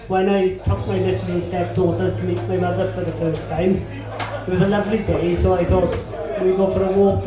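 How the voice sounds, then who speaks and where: A young man doing a stand-up set on a comedy club stage, with crude, deadpan punchlines and lots of swearing. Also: high voice, heavy reverb, low-quality recording.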